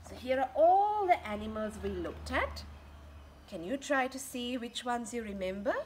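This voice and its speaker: A woman in her thirties reading a picture book aloud to children in an expressive, questioning way, outdoors. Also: fairly high pitch, normal speed, a little hiss or hum. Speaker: children's story reader and teacher. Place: outdoors on a home patio.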